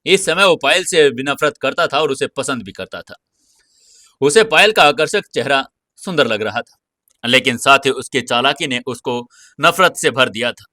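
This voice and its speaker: A man narrating an audio story in a dramatic, expressive scripted style, close on the mic. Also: medium pitch, moderate pace, no echo.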